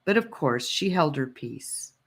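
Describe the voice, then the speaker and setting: A woman narrating a scripted story in a measured, expressive storytelling manner. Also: deep voice. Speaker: audiobook reader.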